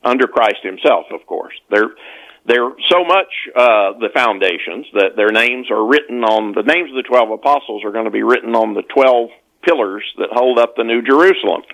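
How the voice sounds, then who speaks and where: Male interview guest, conversational explainer, halting delivery with frequent self-corrections. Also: medium pitch, expressive, gravelly, fairly bright tone, poor audio. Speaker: author, podcast guest.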